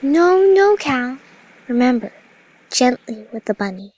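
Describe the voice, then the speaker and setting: A high-voiced young cartoon pony mare, acted out by a woman, gentle and motherly. She coaxes and reassures in a patient, soft-hearted way, as a character in an audio drama. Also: big pitch swings, unhurried, heavily acted, rough recording. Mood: gently coaching.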